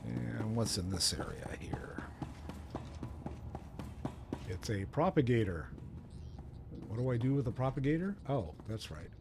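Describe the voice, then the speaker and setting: A man's voice: mid-range voice, expressive, unhurried, pauses often. A man chatting casually while playing an online game, playfully muttering to himself as he goes. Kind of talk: casual gameplay chat. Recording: clear background noise with game sounds.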